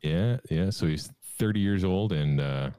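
Deep-voiced dry-humored young man. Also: a little slow, warm tone, smooth, dry recording. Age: 20s. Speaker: podcast co-host. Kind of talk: casual chat.

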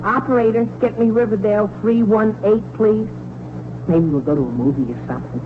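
A man's voice: high-pitched, fairly quick, lightly acted. An actor playing an eager, wholesome teenage boy in 1940s radio comedy style. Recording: tinny, noisy 1940s radio broadcast.

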